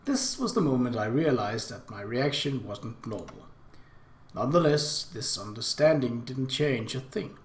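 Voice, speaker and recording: A man's voice: mid-range voice, measured pace. A man hosting a let's play, expressively reading a visual novel aloud with his own asides. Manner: expressive, animated. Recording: roomy echo.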